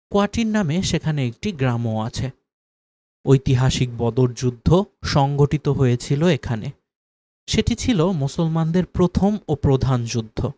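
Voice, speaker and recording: A man's voice: medium pitch, dark tone. A man reading scripted religious stories as a narrator.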